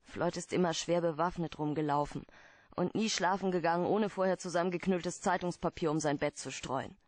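An actress playing a guarded, troubled client pleading for help in a German radio play, hesitant and earnest. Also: female voice, on the low side, brisk, no room sound, natural voice.

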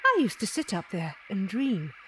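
A woman playing a tender, dignified non-human lady who narrates her memories in a measured, literary way in an audio drama. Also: mid-range voice, wildly swooping pitch, a bit bright, a little hiss or hum, poor audio. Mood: wistful, tender.

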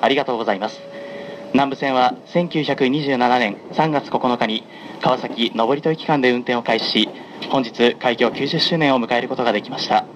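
A man making formal, scripted onboard train announcements in a measured, polite manner, as a conductor does. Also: medium pitch, poor audio. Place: onboard a running train, over the announcement system. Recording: clear noise of a moving train. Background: train running noise.

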